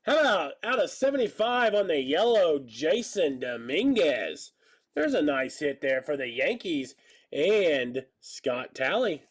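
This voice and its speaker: A man's voice: on the high side, very animated, a little slow. Congested thirty-something man calling card pulls. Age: 30s. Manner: rambling, joking, calls out card pulls. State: stuffy nose, slightly hoarse. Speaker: card break host. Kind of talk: casual live-stream chat while opening cards.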